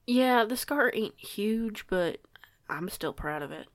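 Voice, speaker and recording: Woman acting an awkward, eager young werewolf, creaky-voiced. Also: medium pitch, expressive, takes it slowly. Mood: bashful. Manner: hesitant, rambling, self-conscious, informal. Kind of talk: audio roleplay acting, casual dialogue.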